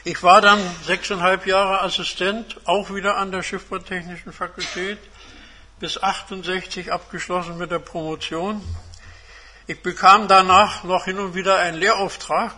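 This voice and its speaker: Bright, high-pitched man of seventy-five. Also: little pitch movement, moderate pace. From Germany. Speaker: guest speaker, retired engineer and academic. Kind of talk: autobiographical talk to a university seminar. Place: seminar room.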